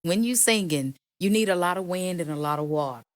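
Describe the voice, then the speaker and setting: Woman, singer-songwriter guest; deep voice, emphatic and playful, dry close recording. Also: a little fast, no echo. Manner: animated, emphatic, playful teasing. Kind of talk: casual interview chat.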